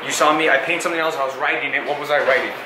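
A man's voice: fairly high pitch, steady tone, rapid-fire. A young man casually questioning and explaining to a friend, earnest and insistent. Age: young adult. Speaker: friend of the vlogger. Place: school hallway. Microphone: handheld camera. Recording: echoey hallway, noisy background.

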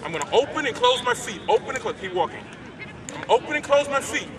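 A man's voice: extremely high pitch, very animated, fast talker. A man coaching football on an outdoor field, giving short commands and walking players through technique, heard from the camera at a distance. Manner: short barked commands, then explaining technique. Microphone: camera from far off. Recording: distant outdoor voice over crowd and noise.

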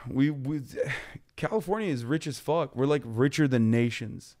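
A man in his thirties from Turkey, a podcast guest ranting about politics in a blunt, profane way in a studio on a boom mic. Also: darkish tone. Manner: blunt, profane, rapid explaining. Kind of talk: heated political chat. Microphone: boom mic on stand.

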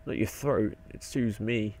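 A young man with a croaky voice, rambling and swearing casually while narrating his gameplay. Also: on the low side, steady tone, a little slow, darkish tone, a little hiss or hum, poor audio. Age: young adult. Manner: rambling, swears often, mutters to himself. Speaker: game video maker.

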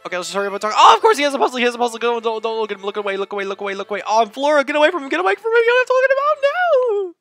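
Excitable man narrating a puzzle game. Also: very high voice, very fast, few pauses. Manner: excitable, gushing, reads hints aloud. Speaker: let's-play host. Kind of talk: puzzle game walkthrough commentary. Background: game music.

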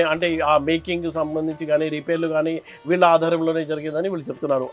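Male reporter, slightly high voice, explainer and interview delivery, music behind. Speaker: reporter and presenter. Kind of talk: explainer narration and street interview.

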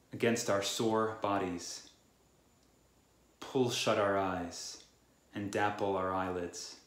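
A man in his thirties reads a scripted poetic prayer in a measured, reverent way, phrase by phrase, recorded indoors at home. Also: medium pitch. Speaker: worship prayer leader. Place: small room at home. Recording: roomy indoor echo.

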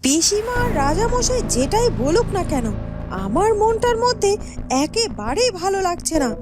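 A woman's voice: very high voice, big pitch swings, normal speed, over music. A woman voicing a vain, spiteful young princess in a fairy-tale cartoon, haughty and aggrieved. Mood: vengeful. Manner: haughty, self-absorbed, aggrieved. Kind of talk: cartoon dialogue and inner monologue.

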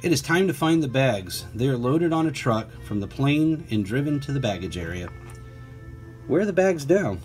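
A man's voice: medium pitch, a little mellow. Man reading a picture book to children. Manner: prompts listeners with questions and asides. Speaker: teacher reading aloud to children. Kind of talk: children's picture book read-aloud with conversational asides.

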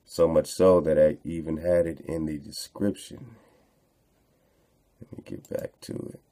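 Male online host, deep gravelly register, slangy, repetitive, unscripted casual commentary. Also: steady tone, a little slow, warm tone. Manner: rambling, repeats phrases, slangy "man" and "you know". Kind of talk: casual unscripted commentary while browsing on screen.

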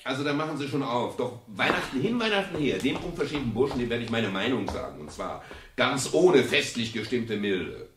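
Man as a lively, theatrical private detective. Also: medium pitch, a little fast, background noise, close to an everyday voice. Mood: blustering. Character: sentimental, bossy, flirtatious male private detective. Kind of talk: radio crime drama acting.

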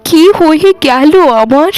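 A woman's voice: high voice, slow pace, darkish tone, no echo, poor audio. A woman voicing an arrogant rich mistress in a cartoon, scornful and commanding. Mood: ailing, desperate. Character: haughty rich mistress, later sick and humbled. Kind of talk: cartoon voice acting.

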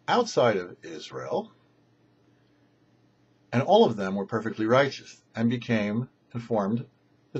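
A middle-aged man giving a short religious teaching as a rabbi, in measured, emphatic, deliberate phrases. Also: fairly low pitch, slightly rough, lo-fi sound. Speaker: rabbi, show host. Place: small indoor set with cloth backdrop.

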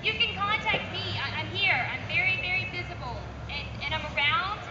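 A woman's voice: extremely high pitch, moderate pace. A woman who is losing her voice, announcing for a kids camp at an outdoor assembly, speaking in short phrases for the crowd to repeat. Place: outdoor city park assembly. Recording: far-off, dull, echoey outdoor camera recording. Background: large crowd and street noise.

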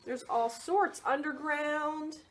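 A woman reading a picture book aloud for children's storytime, expressive and sing-song, prompting young listeners with questions. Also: high voice, slow pace, a little hiss or hum.

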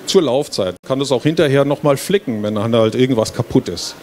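Middle-aged male presenter, mid-pitched voice, explaining informally to an audience, noticeable fair-hall noise behind. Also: fairly quick, darkish tone. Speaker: game developer presenting. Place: stage at a book fair hall. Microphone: handheld microphone. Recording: clear background noise, fair ambience. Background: trade fair hall ambience.

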